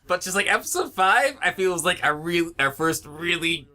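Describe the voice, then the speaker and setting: A man's voice: high voice, expressive, moderate pace, sharp tone. A man chatting casually with friends on a commentary track.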